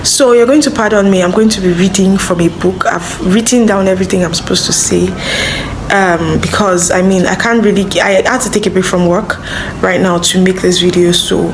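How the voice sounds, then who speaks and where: A woman's voice: mid-range voice, fairly even intonation, moderate pace, noisy background. A woman giving a personal testimony to camera, partly from notes, conversational and speaking directly to the viewer. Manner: conversational, addresses the viewer directly, checks for understanding. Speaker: teacher, singer and stutter awareness advocate.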